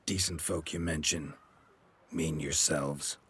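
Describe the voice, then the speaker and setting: An actor playing a gruff, weary swordsman who hunts monsters in a video game, speaking in terse, deadpan sentences. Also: rumbling low, slow pace, full character voice. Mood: stern.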